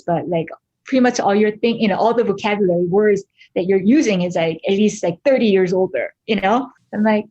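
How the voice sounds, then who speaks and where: A woman from South Korea with a Korean accent, speaking as a guest artist in an interview in a thoughtful, halting way with many fillers and restarts. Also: medium pitch, darkish tone, lo-fi sound. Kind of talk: reflective interview talk.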